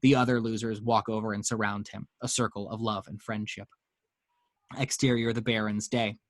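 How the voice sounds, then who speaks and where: A man's voice: on the low side, little pitch movement, normal speed, no room sound. A man reading action descriptions as narrator in a dramatic screenplay table read. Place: at home.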